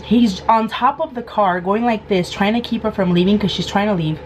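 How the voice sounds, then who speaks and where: A woman in her thirties with a hoarse, sore throat, telling a casual storytime vlog and acting out the quoted lines. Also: medium pitch, moderate pace, slight background noise. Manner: animated, acts out quoted lines. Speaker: vlogger.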